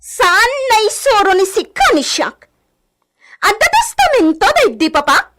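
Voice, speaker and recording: An actress playing a haughty, furious heiress in a melodramatic Philippine radio drama, speaking in an imperious, emotional way. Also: female voice, extremely high pitch, huge pitch swings, unhurried, a bit bright, heavily acted. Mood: indignant, scornful. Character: haughty, furious heiress guarding her hacienda inheritance.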